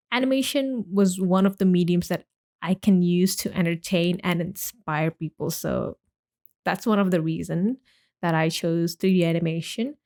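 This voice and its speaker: A woman's voice: fairly low pitch, darkish tone. Casting: young woman from Indonesia, podcast host, chatty and rambling, casual solo talk. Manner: chatty, rambling, with many likes and restarts.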